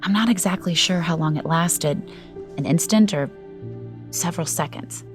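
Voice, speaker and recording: A woman playing a practical, thoughtful character in an audio drama, measured, conversational and gently direct. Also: fairly low pitch, faint music behind, lightly acted.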